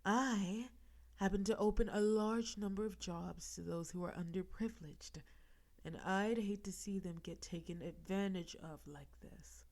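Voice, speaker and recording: A woman's voice: mid-range voice, a little mellow, natural voice. A woman playing a flirty, menacing mafia boss in an audio roleplay, confident and smug, with a teasing, suggestive delivery. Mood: businesslike, smug. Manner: teasing, suggestive, slow deliberate delivery with breathy asides. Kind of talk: audio roleplay with gumshoe cliches.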